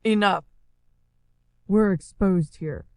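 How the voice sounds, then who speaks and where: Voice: mid-range voice, takes it slowly, a little mellow, no room sound, clean studio sound. An actor playing a tense, threatening sci-fi pursuer in a dramatic game style, with clipped, commanding phrases. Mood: stern, urgent.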